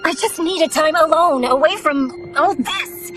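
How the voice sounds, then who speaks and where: A defensive, anxious young princess. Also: female voice, high voice, measured pace, a bit bright. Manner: defensive, pleading. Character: anxious young princess and heir, hiding an illness. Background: game music.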